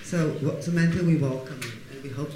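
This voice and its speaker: A man introducing a guest speaker in a halting way, correcting himself, with light humor. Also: a little high. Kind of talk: formal introduction. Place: lecture hall. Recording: echoey hall with hiss.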